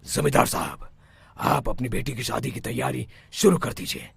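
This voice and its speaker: A man voicing a confident male exorcist in a cartoon, calm and authoritative, sometimes low and hushed. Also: normal speed, vocal fry, husky, no echo, exaggerated voice. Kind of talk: cartoon voice acting.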